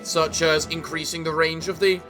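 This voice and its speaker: A young English man giving casual let's-play commentary in a theatrical gentleman persona, a little sick, with a voice that sounds off. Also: a little high, flat delivery, moderate pace, music in the background. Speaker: gaming YouTuber.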